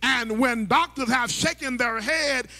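A man's voice: very high voice, measured pace, pushed and bright. A middle-aged male pastor preaching from a church stage into a handheld mic, with rhythmic sing-song phrasing and stammering restarts. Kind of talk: sermon. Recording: long church hall reverb.

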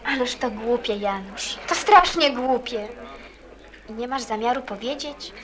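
A woman playing an earnest, caring teenage girl in a radio play, asking gentle, thoughtful questions. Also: fairly high pitch, unhurried. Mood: concerned, probing. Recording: busy chatter of people behind. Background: crowd chatter, as in a café.

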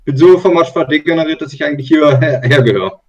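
A man's voice: a little high, fast, slightly rough. A man in a casual online group chat who asks probing questions and uses lots of filler words. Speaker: discussion participant. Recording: distant, muffled call audio.

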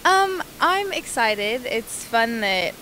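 A woman's voice: a little high, sing-song, slow pace, sharp tone, a little hiss or hum, poor audio. A teenage girl chatting about being nominated, interviewed in a school gym. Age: teens. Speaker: homecoming nominee.

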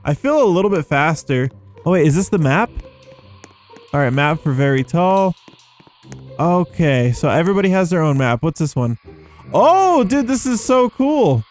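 A man's voice: a little high, lively intonation, normal speed, long pauses, faint music behind. A young adult man giving casual, rambling gameplay commentary, easily amazed and thinking aloud as he hosts. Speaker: gaming video host.

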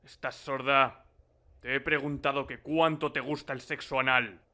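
A man playing a menacing, creepily controlling martial-arts hero husband in a cartoon parody, speaking slowly and lapsing into breathy whispers. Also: mid-range voice, steady tone, sharp tone. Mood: aggressive. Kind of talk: cartoon parody fandub acting.

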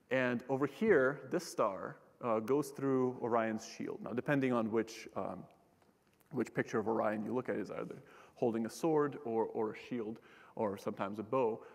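A man's voice: medium pitch, normal speed. Young man giving a casual lecture in a hall. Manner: casual and joking, with frequent fillers and restarts. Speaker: keynote speaker, astronomer. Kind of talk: public science talk. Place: large lecture hall stage. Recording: long hall reverb.

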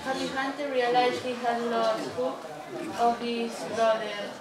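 A young woman reading out scripted narration for a puppet show in a halting way. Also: fairly high pitch, little pitch movement, a loud crowd. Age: teenager or young adult. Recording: echoey room from far off, loud audience noise.

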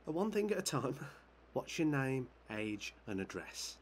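An actor playing a measured, slightly hesitant male police chief questioning someone in a video game. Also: medium pitch, big pitch swings. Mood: measured, official. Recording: noisy with background ambience.

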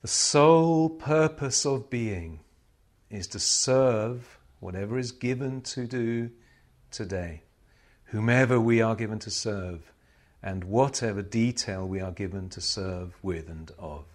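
Slow, low-voiced man guiding meditation. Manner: measured, solemn, short phrases with pauses. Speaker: meditation teacher. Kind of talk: guided meditation, spiritual teaching.

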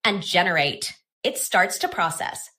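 A woman presenting software in a scripted tutorial narration. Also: lowish voice, big pitch swings, measured pace.

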